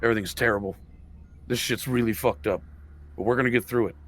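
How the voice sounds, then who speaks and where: A man's voice: medium pitch, steady tone, fast talker, very noisy. A man talking casually as a player in a tabletop roleplaying game, informal in his way of speaking.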